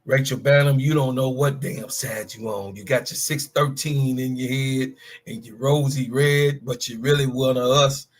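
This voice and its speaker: A man's voice: mid-range voice, steady tone, moderate pace. A man in his fifties, co-hosting a sports talk show, ranting with emphatic, profane call-outs. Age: 50s. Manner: profane, emphatic, calls out players by name. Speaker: podcast co-host. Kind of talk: heated sports talk rant.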